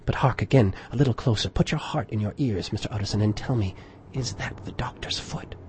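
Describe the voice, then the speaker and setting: A man's voice: on the low side, fairly quick, a little mellow, slight background noise, natural voice. A man voicing an anxious, superstitious butler in a dramatic story reading, deferential and full of dread. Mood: dread, urgent.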